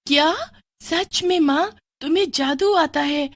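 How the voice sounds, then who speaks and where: A woman voicing a young half-goat witch girl, an animated, eager daughter in a storybook cartoon. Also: high voice, slow pace, no room sound, clean studio sound, pitched above the performer's own voice. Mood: excited. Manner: excitable, pleading, turning gleefully menacing.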